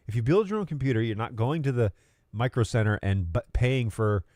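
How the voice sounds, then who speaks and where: A man's voice: medium pitch, animated, fairly quick, darkish tone, no room sound, studio quality. A man giving an unscripted, conversational tech explainer, thinking aloud and rephrasing as he goes. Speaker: presenter of a tech explainer video.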